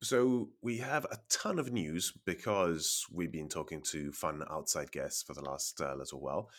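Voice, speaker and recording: A very low-voiced man hosts a casual tech podcast. His nose is stuffy from a cold, and he keeps things moving with a wry, easygoing tone. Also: normal speed. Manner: wry, leads the discussion and hands off questions. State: congested from a cold. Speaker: podcast host.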